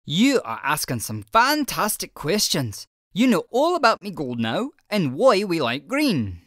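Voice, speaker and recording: A woman's voice: lowish voice, huge pitch swings, a little slow, no room sound, clean studio sound. A woman voicing a friendly male leprechaun shoemaker with a playful brogue-style way of talking, in a children's storybook read-aloud. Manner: welcoming, explaining patiently.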